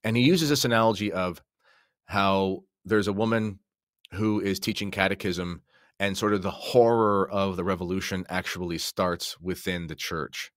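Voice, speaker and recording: Male podcast guest, deep register, informal halting commentary, dry close sound. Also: fairly even intonation, a bit bright, no room sound. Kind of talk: casual conversational commentary.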